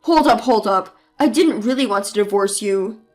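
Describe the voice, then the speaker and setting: A woman voicing a bullying, entitled husband who shouts and belittles his wife, with a lowered voice. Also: normal speed, soft background music, lightly acted. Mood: pleading. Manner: yelling, belittling, then pleading. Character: bullying entitled husband, loud mama's boy.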